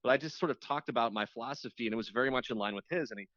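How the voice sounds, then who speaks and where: A man's voice: mid-range voice, rapid-fire, fairly bright tone, dry recording. A man talking as an interview guest in a casual, reflective, chatty way, circling back and repeating words for emphasis. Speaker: radio host appearing as a podcast guest. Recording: slightly dull, lo-fi audio.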